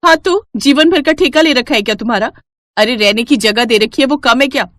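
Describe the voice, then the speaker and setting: A woman voicing a harsh, contemptuous young daughter-in-law who scolds loudly. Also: a little high, fairly quick, dry recording, pitched above the performer's own voice, close to an everyday voice. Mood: scornful.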